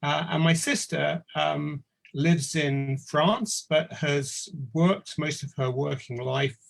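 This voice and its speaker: Older male academic; somewhat high, gravelly voice, halting with many fillers. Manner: halting, many ums and uhs. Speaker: professor. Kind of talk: panel discussion.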